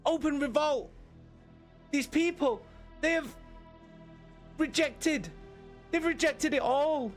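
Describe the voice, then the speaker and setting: A man's voice: very high-pitched, slow pace, pauses often, faint music behind, pitched above the performer's own voice. A man voicing a terrified female officer, frantic and breathless as she pleads for rescue. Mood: panicked. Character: terrified woman officer pleading amid massacre.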